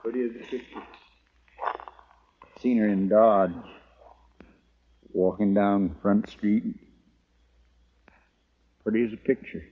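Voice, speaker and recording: Folksy Old West deputy sidekick. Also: male voice, lowish voice, steady tone, slow, long pauses, a little hiss or hum. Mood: wistful. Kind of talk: 1950s radio western acting. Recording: dull vintage radio recording, music behind.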